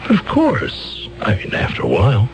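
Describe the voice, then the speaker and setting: An actor playing a fumbling, wordy court-appointed lawyer who hesitates, stammers and clears his throat, in a 1970s radio drama. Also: medium pitch, huge pitch swings, normal speed, soft background music.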